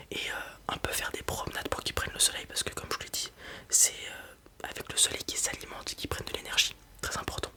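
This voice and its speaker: A performer voicing a reassuring fantasy dragon breeder in an ASMR roleplay, whispering breathily and explaining things informally, with small hesitations. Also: bright, forceful tone. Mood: reassuring, helpful.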